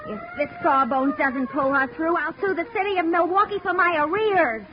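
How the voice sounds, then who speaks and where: A woman's voice: very high voice, lively intonation, normal speed, noisy background. A woman playing a tart-tongued, practical middle-aged woman in a 1940s radio drama, talking in a dry, blunt way. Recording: dull lo-fi 1940s radio broadcast.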